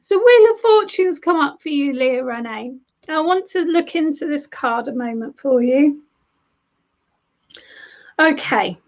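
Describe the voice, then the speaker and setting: A woman's voice: high voice, lively intonation, a little slow. A woman giving live tarot readings to viewers, chatty and affectionate, calling them darling and love, her voice a little worn as she is losing it. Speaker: tarot reader. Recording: dull lo-fi stream audio.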